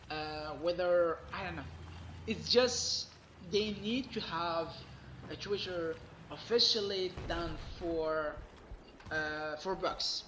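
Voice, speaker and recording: Man, hoarse, emphatic gaming rant, roomy echo, faint background noise. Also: high-pitched, fairly bright tone. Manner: emphatic, complaining. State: losing his voice, hoarse. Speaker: gaming commentator.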